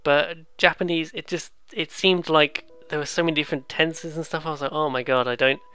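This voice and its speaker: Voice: medium pitch, fairly even intonation, brisk, pushed and bright, clean tone, soft background music. A let's play host giving casual, rambling, playful commentary on a video game. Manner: rambling, playful, mimics sounds and game lines.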